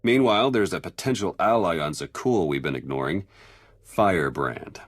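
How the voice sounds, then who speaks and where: A man's voice: sits low, animated, moderate pace, husky, no echo. An actor playing a pragmatic, wry male intelligence agent in a video game, speaking in a frank, matter-of-fact way. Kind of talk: video game cutscene acting.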